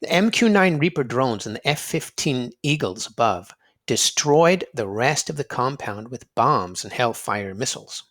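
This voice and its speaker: A man reading aloud to children in an expressive storybook style, dramatic and emphatic, drawing out words for effect. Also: medium pitch, measured pace. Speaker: children's read-aloud narrator and quiz host.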